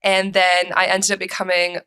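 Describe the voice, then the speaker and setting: A woman's voice: on the low side, monotone, moderate pace, bright, forceful tone, smooth. A young American woman speaking as a podcast guest, telling her personal story in conversation. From United States, grew up moving between countries. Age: young adult.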